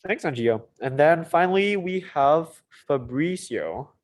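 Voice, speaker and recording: A man's voice: on the high side, lively intonation, unhurried. A young man from Mauritius moderating an informal online student presentation, with casual, slightly hesitant phrasing. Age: young adult. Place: online video call.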